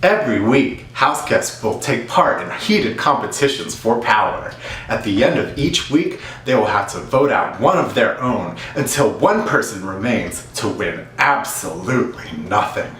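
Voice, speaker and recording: A man's voice: on the low side, expressive, a little slow, fairly bright tone. A man hosting a reality competition show with a dramatic announcer delivery. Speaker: host. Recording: echoey room, distant mic, faint hiss.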